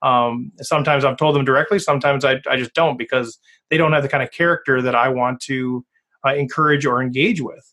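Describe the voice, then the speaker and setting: A man's voice: mid-range voice, fairly even intonation, fairly quick, pushed and bright. A man hosting a podcast from Minnesota, speaking earnestly and candidly in a loose conversational way. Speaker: podcast host.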